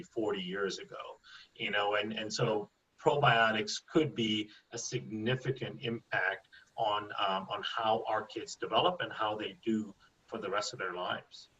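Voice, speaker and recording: A man's voice: lowish voice, pushed and bright, recorded from a distance, muffled recording. A man from India, a microbiologist guest, explaining at length in an interview and often tagging sentences with "right?". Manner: explanatory, asks rhetorical "right?". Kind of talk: interview answers.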